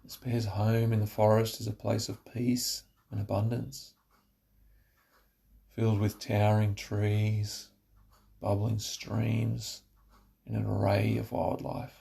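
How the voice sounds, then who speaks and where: A man narrating a scripted guided relaxation, giving slow, instructive phrases with pauses. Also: lowish voice, monotone, vocal fry, mellow.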